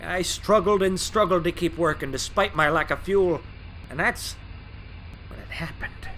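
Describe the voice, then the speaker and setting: A man's voice: a little high, measured pace, sharp tone, a little hiss or hum, low-quality recording. A man voicing a boastful, charming male tank engine in an audio drama, with a jaunty, folksy manner.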